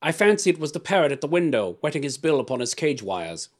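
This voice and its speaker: A man's voice: a little high, big pitch swings, quick pace, voice pushed up. A placating storybook courtier, acted by a man, speaks in a slightly raised voice. He is appeasing and speculative, careful before his ruler. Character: smooth, placating male courtier to a tyrant.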